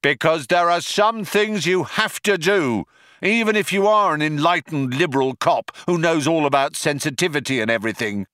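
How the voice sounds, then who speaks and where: Storybook cop played by a male reader: high, forceful bellow, indignant and self-justifying, trigger-happy but convinced of his own sensitivity. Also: expressive, moderate pace, no room sound, clean studio sound. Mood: earnest, defensive.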